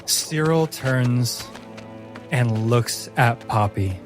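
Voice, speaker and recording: A man narrating a dramatic tabletop roleplaying story as game master, measured and deliberate, with theatrical pauses. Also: medium pitch, over music.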